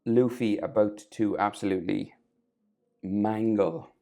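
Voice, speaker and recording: A man with a sore throat and a crackly, gravelly voice, talking casually through a drawing tutorial, often trailing off to a near whisper. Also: fairly low pitch, fairly even intonation, a little slow, mellow.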